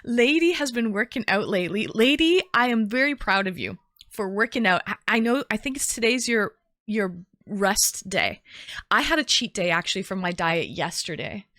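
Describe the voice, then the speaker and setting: A woman's voice: mid-range voice, expressive, normal speed, fairly bright tone, no echo, studio quality. A woman with a Canadian accent hosting a livestream in casual, chatty talk, informally addressing her viewers and often repeating her phrases. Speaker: livestream host.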